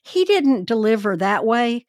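A woman hosting a true crime podcast, telling the case in a chatty, animated, wry way. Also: on the high side, unhurried, dry recording, studio quality. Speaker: podcast host.

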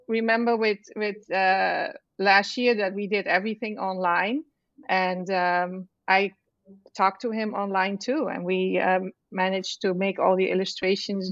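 A middle-aged woman from the Netherlands speaks slowly in English, her second language, with a clear Dutch accent. She pauses often and restarts her sentences. The sound is dry and slightly dull. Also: medium pitch, no room sound. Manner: halting, many fillers and restarts. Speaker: author guest. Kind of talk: casual interview chat.

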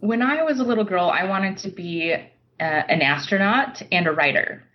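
A woman's voice: medium pitch, expressive, moderate pace. An American woman from Utah, a writer and teacher, talking casually as an interview guest. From Utah, United States. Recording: dull lo-fi line, faint background noise.

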